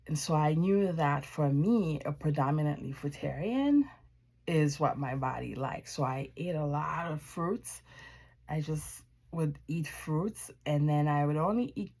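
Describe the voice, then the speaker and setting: A woman from Haiti shares a personal testimony with viewers in a reflective, deliberate way, often pausing mid-sentence. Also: deep voice, darkish tone, husky. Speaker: wellness practitioner giving testimony.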